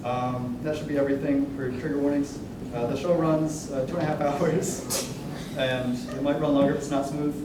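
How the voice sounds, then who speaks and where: A man's voice: fairly high pitch, steady tone, moderate pace, noisy background. A young man giving a casual, irreverent, hesitant announcement to introduce a staged reading. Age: young adult. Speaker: writer presenting his musical and performer. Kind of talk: informal pre-show announcement. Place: indoor room at a staged reading. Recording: distant, very echoey room recording, music.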